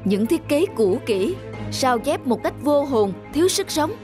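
A man's voice: extremely high pitch, sing-song, measured pace, exaggerated voice. A man voicing a stern middle-aged boss in a cartoon, curt, critical and demanding. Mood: scornful, demanding. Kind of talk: animated moral tale dialogue. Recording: music behind the voice.

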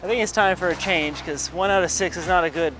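A man's voice: high-pitched, quick pace, over music, low-quality recording. A man in his thirties gives a casual bowling ball review in a large bowling alley, muttering play-by-play between throws. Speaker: pro shop ball reviewer.

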